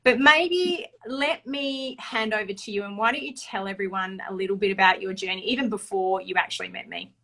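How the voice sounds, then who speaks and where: A woman of middle pitch hosts a casual live stream as a fertility doctor. She talks in a chatty, upbeat way, laughing easily between remarks. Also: brisk, few pauses, a bit bright.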